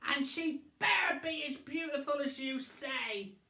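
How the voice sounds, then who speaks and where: A woman's voice: high voice, lively intonation, a little slow, bright, forceful tone, echoey room, voice pushed up, exaggerated voice. A woman voicing a brash, bossy, snorting young beast boy in a children's storybook. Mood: threatening, gruff.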